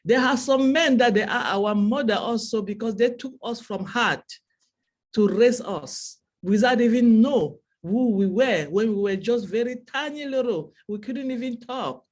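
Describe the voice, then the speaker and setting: A woman's voice: fairly high pitch, unhurried, a little mellow. A woman from Cameroon whose first language is French, speaking with a Cameroonian French accent in a heartfelt speech to an online audience, repeating phrases for emphasis. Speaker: webinar speaker.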